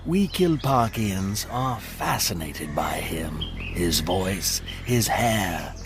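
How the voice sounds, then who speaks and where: A man's voice: low-pitched, animated, noisy background, exaggerated voice. A man playing a tall, stately alien visitor in a comedic audio drama, speaking formally and literally. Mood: curious. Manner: formal, deliberate speech.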